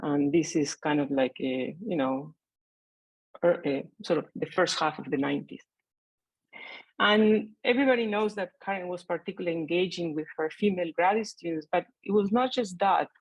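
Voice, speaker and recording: Middle-aged woman, lowish voice, dry sound, chatty and halting, joining a panel by video call. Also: breathy edge, dry recording, low-quality recording. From Argentina. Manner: conversational, hesitant with many fillers. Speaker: panelist, mathematician. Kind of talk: remote panel remarks.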